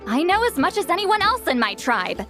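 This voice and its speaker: Haughty, high-pitched shaman woman. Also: very animated, normal speed, sharp tone, faint music behind, exaggerated voice. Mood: proud, dismissive. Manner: proud, curt, exasperated, boastful. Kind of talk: video game character voice acting.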